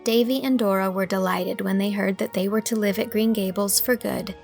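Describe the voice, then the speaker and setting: Creaky-voiced female storybook narrator. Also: mid-range voice, steady tone, brisk, darkish tone, faint music behind. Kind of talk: scripted audiobook narration.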